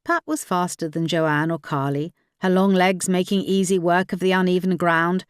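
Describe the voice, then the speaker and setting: A woman's voice: lowish voice, fairly even intonation, normal speed, clean tone, dry recording, studio quality. A woman audiobook narrator reading dramatic fiction from a script.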